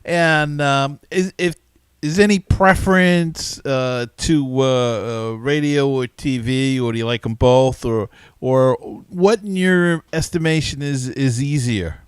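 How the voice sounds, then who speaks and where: A man with a medium-pitched, very clear voice hosts a casual sports interview. He feels his way into questions with some repeated words, and the sound is dry and close. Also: takes it slowly, dry recording. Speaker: podcast host, interviewer.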